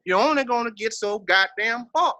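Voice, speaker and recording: A man's voice: very high-pitched, animated, normal speed, sharp tone, dry recording, clean studio sound. A man with a Texas Southern accent talking casually in an interview, emphatic and full of slang and swearing. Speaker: interview guest, hip-hop veteran.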